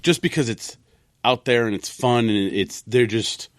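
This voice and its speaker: A man's voice: medium pitch, unhurried, no echo, clean studio sound. A man co-hosting a podcast in casual panel chat, rewording his thoughts as he rambles along. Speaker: podcast co-host.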